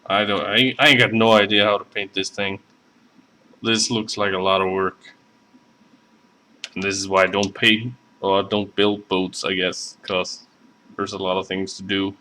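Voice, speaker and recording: A man's voice: low-pitched, flat delivery, measured pace, sharp tone, a little hiss or hum. A man with a Southern accent chatting casually and rambling, full of fillers, while building a model at his hobby desk. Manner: rambling, self-deprecating, many fillers. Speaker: scale model builder streaming. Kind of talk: casual live stream chat.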